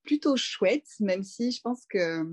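A woman in her thirties from France, a doula speaking as a guest in a relaxed interview, thinking aloud with frequent fillers and restarts. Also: medium pitch, slow. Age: 30s. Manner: reflective, many fillers and restarts. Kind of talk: casual conversational interview.

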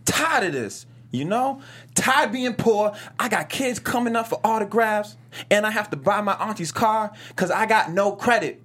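An actor playing a cocky, laid-back young male pro gamer at a comedy table read, casual and boastful with a husky voice. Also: mid-range voice, animated, normal speed, a bit bright. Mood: defensive, boastful.

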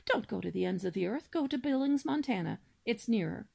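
A woman's voice: fairly high pitch, animated, a little fast, creaky, warm tone, exaggerated voice. A woman voicing a practical, dry-witted mother swan. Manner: dry, matter-of-fact.

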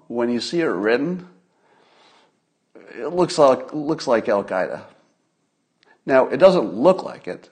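A man hosting a solo livestream, giving casual political commentary in a conversational, emphatic manner with pauses for effect. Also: mid-range voice, darkish tone, slightly rough.

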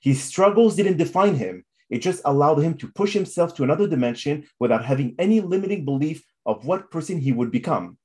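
A man's voice: a little high, lively intonation, fairly quick, few pauses, a little mellow. A man hosting an interview podcast in a formal, effusive and motivational manner. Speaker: podcast host.

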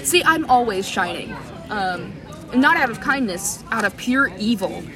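A woman's voice: on the high side, animated, a little slow. Giggly young woman rambling outdoors. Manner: giggly, rambling, playfully dramatic. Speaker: vlogger. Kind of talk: casual chat outdoors. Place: outdoors in a busy urban area. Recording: crowd noise, distant mic.

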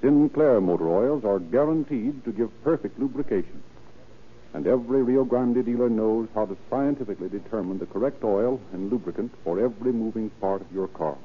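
A man's voice: lowish voice, a little fast, breathy edge. A man narrating and announcing in a formal, theatrical, emphatic 1930s radio style. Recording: tinny, hissy 1930s radio recording.

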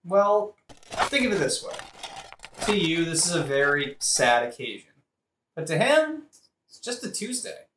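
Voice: mid-range voice, big pitch swings, unhurried, long pauses, fairly bright tone. A performer playing a prince's mild, agreeable and hesitant friend in a modern comic audio drama. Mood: placating. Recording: roomy echo, faint noise.

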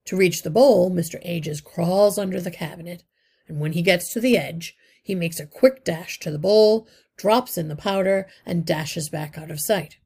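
A wise old rat leader explains the plan patiently and step by step, in a woman's read-aloud voice. The tone is husky with some gravel. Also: fairly low pitch, a little mellow. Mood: explaining. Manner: measured, explanatory.

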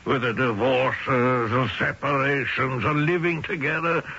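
A man's voice: mid-range voice, steady tone, unhurried, bright, forceful tone, breathy edge, full character voice. An actor playing a stubborn, traditional immigrant father in a radio drama, scolding and arguing through pointed rhetorical questions. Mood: indignant, lecturing. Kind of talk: old-time radio drama acting. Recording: muffled, noisy old radio broadcast.

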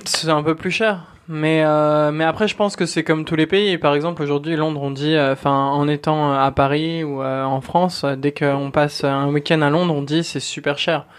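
A man on a podcast explaining things in a measured, casual way. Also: fairly high pitch, steady tone, smooth. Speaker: podcast guest. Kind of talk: casual chat.

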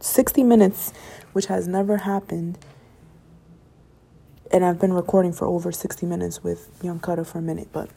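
Young woman, solo podcast host, gravelly and a bit hoarse, mellow tone, rambling and preachy about positivity. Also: fairly low pitch, level tone, normal speed, pauses often. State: voice getting raspy from talking late. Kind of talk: late-night solo podcast monologue.

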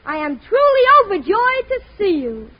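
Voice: very high-pitched, sing-song, normal speed. An actor playing a small, cheeky, scheming neighbour boy in a 1940s radio comedy. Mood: mock polite. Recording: muffled old radio recording with faint noise.

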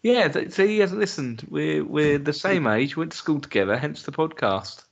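A man's voice: a little high, lively intonation, normal speed, gravelly, lo-fi sound. A man in his thirties co-hosting a comedy podcast, chatting casually with jokey, sweary banter. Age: 30s. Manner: sweary, joking. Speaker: podcast co-host.

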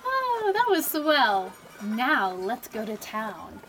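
Woman playing a brash, high-voiced wisecracking young gal. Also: wildly swooping pitch, slow, a bit bright, a little hiss or hum, heavily acted, low-quality recording. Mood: playful singing. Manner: slangy, teasing, playful. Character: brash, wisecracking young woman who loves hot songs. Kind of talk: old-time radio drama acting.

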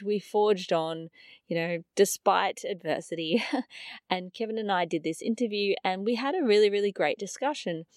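Brief: woman podcaster, slightly low voice, bit raspy and nasal, earnest and chatty, dry close mic. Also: no echo. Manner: earnest, chatty with frequent fillers. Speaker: podcast host. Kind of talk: podcast episode introduction.

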